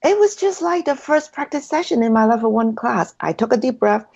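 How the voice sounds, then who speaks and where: A woman's voice: on the high side, lively intonation, moderate pace, vocal fry, breathy edge, lo-fi sound. A woman whose first language is Cantonese, chatting as an interview guest in an effusive way with frequent ums. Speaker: guest, hypnotherapy practitioner. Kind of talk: casual interview chat.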